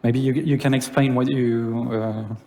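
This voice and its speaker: A man from France with a French accent giving a conference talk in English, pausing often with filler sounds. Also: mid-range voice, fairly even intonation, warm tone. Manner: hesitant, many filler sounds. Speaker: conference speaker. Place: lecture hall. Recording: long reverb of a hall.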